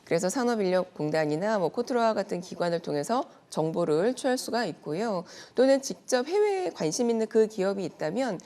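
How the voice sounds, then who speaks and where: A woman's voice: mid-range voice, big pitch swings, brisk, hardly any pauses, mellow. A woman speaking as an expert guest in a news segment, explaining things politely and point by point in a prepared, organized way.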